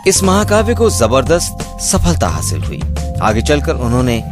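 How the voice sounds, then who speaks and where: A male narrator delivers a scripted life story with a very expressive, rising and falling melody. His voice sits at an average pitch, with music clearly playing behind it. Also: normal speed.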